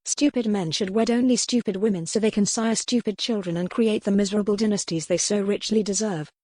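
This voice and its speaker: A woman's voice: mid-range voice, fairly even intonation, brisk, warm tone, dry recording, natural voice. A woman voicing a proud, earnest young noblewoman in a historical romance audiobook. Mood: cutting. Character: young noblewoman, proud captive wife, earnest and sharp-tongued.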